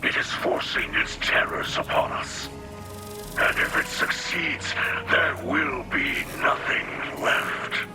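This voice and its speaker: A man voicing a solemn, reverent alien mentor in a video game, with a breathy, whispery voice and grave, formal, measured delivery. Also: on the high side, steady tone, over music. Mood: grave, foreboding. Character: solemn, reverent male alien splicer mentor, weary and hopeful. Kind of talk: dramatic video game dialogue.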